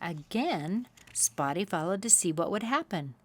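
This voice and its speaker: A woman hosting a read-aloud, telling a children's picture-book story with expressive, deliberate phrasing for young listeners. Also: on the low side.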